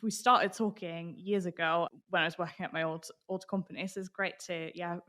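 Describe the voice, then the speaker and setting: A woman's voice: on the low side, steady tone, brisk, fairly bright tone. British-accented woman chatting in an interview. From Austria. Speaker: podcast guest, marketing professional. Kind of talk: casual interview chat.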